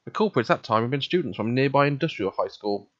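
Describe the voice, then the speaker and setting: A man's voice: mid-range voice, animated, fast, dry recording. Man reading aloud on a dull, dry mic. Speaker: let's play host. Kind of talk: reading visual novel narration aloud, casual commentary.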